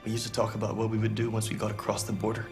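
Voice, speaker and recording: Game role: bereaved male android fugitive, grief-stricken, lowish voice, music behind played by a man. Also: completely flat delivery, racing, natural voice. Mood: grieving. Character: grieving male fugitive android, heartbroken lover. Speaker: video game actor. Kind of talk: dramatic cinematic game acting.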